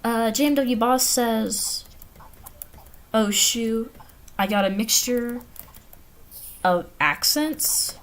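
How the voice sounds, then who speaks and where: A woman's voice: on the high side, extremely slow, long pauses. A woman with an American accent hosting a livestream, chatting informally and giggly as she reads out viewer comments. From the Carolinas, United States. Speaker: livestream host. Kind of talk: casual livestream chat, reading viewer comments aloud. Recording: noisy home livestream audio.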